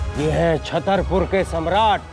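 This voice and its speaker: An actor playing a blustering boss in an audio drama, theatrical and emphatic, fawning upward and harsh downward. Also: male voice, on the high side, slow, creaky, over music. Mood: outraged.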